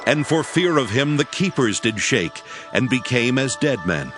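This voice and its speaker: A man narrating a dramatized Bible reading in a solemn, measured storytelling manner. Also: medium pitch, big pitch swings, music in the background.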